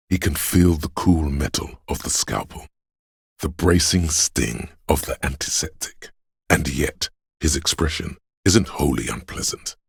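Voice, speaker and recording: Game inner-voice narrator: rumbling bass, heavy rasp, tender yet grave, measured and theatrical delivery. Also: male voice, dry recording, studio quality, exaggerated voice. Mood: wry, knowing. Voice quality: gravelly, husky. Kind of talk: dramatic scripted game narration.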